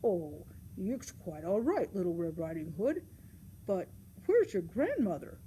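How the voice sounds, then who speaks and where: A woman's voice: lowish voice, very animated, measured pace, dark tone, a little hiss or hum, voice pushed down, rough recording. A woman voicing a kindly, reassuring male woodcutter in a children's storybook tale.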